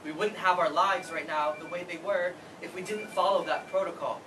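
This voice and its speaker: A man's voice: high voice, fairly even intonation, moderate pace, natural voice. A man playing a grieving, regretful adult son in a staged reading of a play. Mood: shocked, defensive. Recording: distant mic, noisy room.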